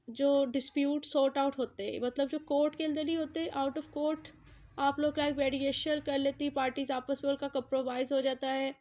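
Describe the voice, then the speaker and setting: A woman with a Pakistani accent giving an explanatory lecture as a teacher, mixing in English terms and repeating key points for emphasis. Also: sits high. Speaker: law lecturer. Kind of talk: explanatory exam-prep law lecture.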